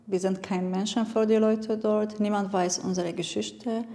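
A woman with a foreign accent gives a personal account in a language learned later. Her voice is warm-toned and relaxed, recorded in a space with long reverb. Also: medium pitch, unhurried. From abroad, immigrant to Germany. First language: not German. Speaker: interviewee, choir member.

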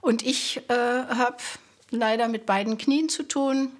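A woman in her eighties giving a personal account in an interview, in a deliberate, hesitant way. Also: a little high, fairly even intonation. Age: 80s. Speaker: interviewee, physician.

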